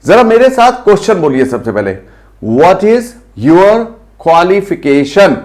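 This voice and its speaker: A man with an Indian accent teaching spoken English, saying English phrases slowly and urging viewers to repeat them. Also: high voice, sing-song, a little mellow. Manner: slow drilled English phrases, coaxing the viewers.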